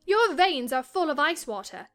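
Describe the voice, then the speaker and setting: A woman playing a passionate, wilful young married gentlewoman in a dramatized novel reading, theatrical and distressed. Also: high-pitched, big pitch swings, moderate pace. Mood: exasperated.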